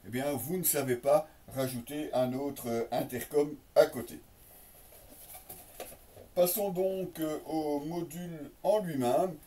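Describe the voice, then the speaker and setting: A man gives a casual, unscripted product presentation as a reviewer, in piecemeal phrases with many drawn-out hesitations. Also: a little high, dark tone. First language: French. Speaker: motorcycle gear reviewer. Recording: slightly distant microphone.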